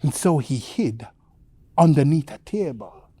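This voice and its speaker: A woman telling a children's bedtime story theatrically, with dramatic, drawn-out phrasing and suspenseful pauses. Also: low-pitched, animated, mellow, slightly rough, dry recording.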